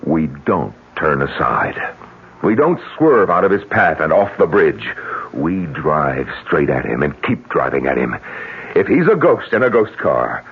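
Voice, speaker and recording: An actor playing a grim, stubborn widower bent on a showdown in a radio drama. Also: male voice, very deep voice, big pitch swings, a little slow, breathy edge, full character voice. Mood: grimly determined. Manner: stubborn, forceful. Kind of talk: 1970s radio drama acting. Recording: tinny, noisy old radio broadcast.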